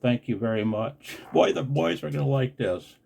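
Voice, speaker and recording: An older man from the United States in a casual, unscripted vlog as a radio operator, blunt and wry with a gravelly, husky voice. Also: medium pitch, animated, moderate pace, a little mellow. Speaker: amateur radio operator and video host. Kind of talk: casual, unscripted video commentary.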